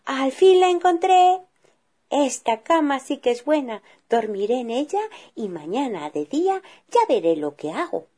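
A woman's voice: sits high, very animated, a little slow, mellow, slightly rough, voice pushed up, heavily acted. A woman doing a chirpy, wide-eyed little girl. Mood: delighted. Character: little blonde girl, lost and sleepy.

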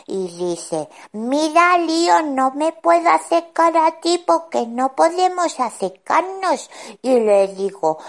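A woman's voice: a little high, exaggerated voice. A woman doing Lío, a chatty little Spanish boy. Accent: Spain. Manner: rambling, retelling dialogue with lively repeats. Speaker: regular children's figure on a radio show. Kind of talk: children's radio storytelling chat.

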